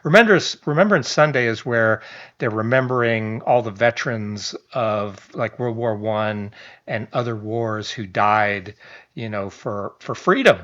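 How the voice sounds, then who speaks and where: A man's voice: lowish voice, measured pace, fairly bright tone. A man, reading a news article aloud and adding casual commentary, with chatty asides, restarts and small chuckles. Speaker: online commentator. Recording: slightly dull lo-fi audio.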